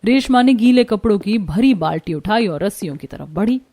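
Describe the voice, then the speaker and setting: A woman narrating a scripted cartoon family story in an expressive storytelling manner. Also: medium pitch, brisk.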